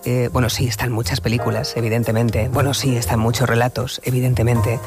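Measured man hosting late-night radio. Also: medium pitch, flat delivery, music in the background. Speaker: radio host.

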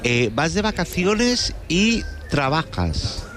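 A man's voice: a little high, sing-song, slow, fairly bright tone, faint music behind. A man with a Spanish accent, chatting casually as an enthusiastic and inquisitive radio panellist. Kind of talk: casual live radio chat.

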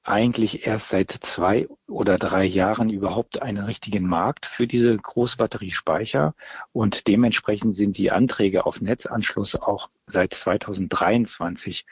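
A man speaking for a grid operator calmly explains the work involved. His voice is low and gravelly, with a heavy rasp. The clip sounds dull and muffled. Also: a little slow, no room sound. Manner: calm, explanatory. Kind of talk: interview soundbite.

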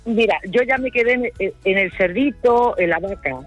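A woman's voice: fairly high pitch, moderate pace, lo-fi sound, soft background music. A woman calling a radio phone-in, telling a casual anecdote in a chatty, self-mocking way.

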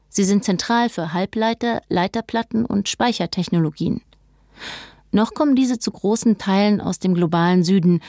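A woman's voice: mid-range voice, warm tone, no echo. A woman narrating a scripted news documentary as a reporter, recorded close on a studio microphone.

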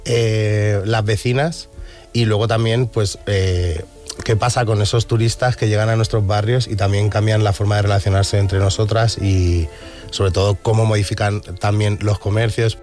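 A man's voice: on the low side, fairly even intonation, moderate pace, darkish tone, faint music behind. A man speaking in an interview in a hesitant way, with many fillers.

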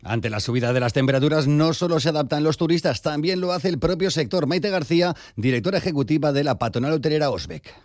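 A man's voice: on the high side, fast talker, darkish tone, no room sound, studio quality. A man with an accent from Spain reading a scripted report as a radio news reporter.

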